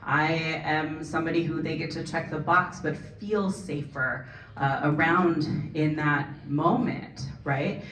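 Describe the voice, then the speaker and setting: A woman with a Californian accent answering at a panel forum, earnest, tagging points with "right?" and quick asides. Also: low-pitched, fairly even intonation, a bit bright. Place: forum event hall. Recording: echoey hall, mic at a distance.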